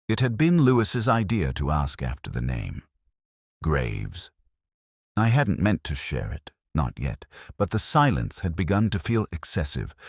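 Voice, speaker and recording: A man with an extremely deep voice narrates a literary detective story. His delivery is measured and brooding, built from deliberate short phrases with expressive swings in pitch. The sound is close and dry. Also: no echo. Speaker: audio drama narrator.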